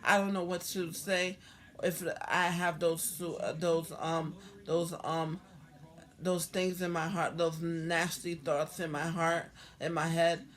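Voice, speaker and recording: A hoarse woman vlogging about her own life in a halting, earnest way, repeating herself and restarting often. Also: lowish voice, little pitch movement, a bit bright. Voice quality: halting, repetitive, with many restarts. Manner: earnest, heartfelt. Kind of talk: personal talk to camera.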